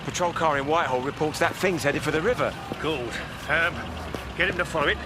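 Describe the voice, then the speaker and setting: An actor playing a blunt, harried police sergeant with a British accent, barking orders in a radio drama. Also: male voice, fairly high pitch, a little fast, music in the background, natural voice. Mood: urgent, commanding. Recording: radio drama with loud soundtrack.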